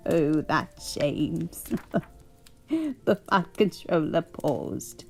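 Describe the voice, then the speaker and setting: A doting old English grandmother, put on by a woman, reads a storybook at bedtime in a slow, husky voice. Her pitch rises and falls warmly as she chuckles and fusses over the child. Soft music plays faintly underneath. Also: sing-song, full character voice. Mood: cozy.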